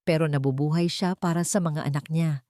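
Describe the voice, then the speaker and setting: A composed young woman speaks with quiet, steady firmness, as a female narrator gives her voice. The voice is low-set and sounds close and dry. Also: brisk, no echo, close to an everyday voice. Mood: firm. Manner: gentle, steady.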